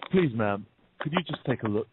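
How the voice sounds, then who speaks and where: A man playing a shady, insincere gunman posing as a salesman in an audio drama, husky, with muffled narrow sound. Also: medium pitch, expressive, very noisy, natural voice. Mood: oily, falsely polite. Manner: halting, falsely polite, then curt.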